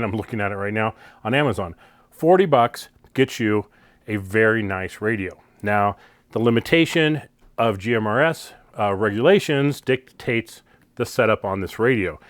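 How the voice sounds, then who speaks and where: A man's voice: medium pitch, no echo. A man talking casually as he reviews a product, explaining things informally and stressing key words. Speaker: product reviewer and off-road vlogger.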